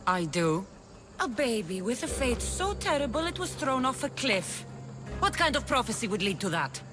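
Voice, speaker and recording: A woman's voice: on the high side, very animated, moderate pace. A woman playing a fierce, bitter young warrior in a video game, with a taunting, cutting delivery. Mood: bitter, scornful. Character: fierce young female cult-raised warrior, bitter, menacing, contemptuous. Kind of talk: cinematic video game acting. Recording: music under the voice.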